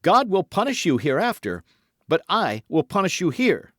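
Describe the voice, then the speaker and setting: A man's voice: mid-range voice, sing-song, measured pace, no echo, studio quality, voice pushed up. A man voicing a fervent young fanatic in an audiobook, pleading and threatening in an impassioned, insistent way. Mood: fervent, accusing. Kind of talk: dramatic audiobook character acting.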